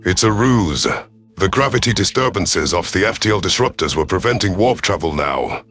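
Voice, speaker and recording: A man narrates a science fiction story in the first person. His voice sits on the low side, and he reads from a script as a storyteller. Soft music runs underneath. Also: lively intonation, fairly quick. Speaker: narrator of sci-fi audio stories. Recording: music behind the voice.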